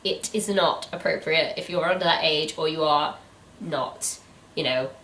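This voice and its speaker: A woman's voice: low voice, a little slow, a bit bright, far from the mic, slight background noise. Woman chatting in an echoey room. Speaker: book review channel co-host. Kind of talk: casual chatty book review. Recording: echoey room, away from the mic.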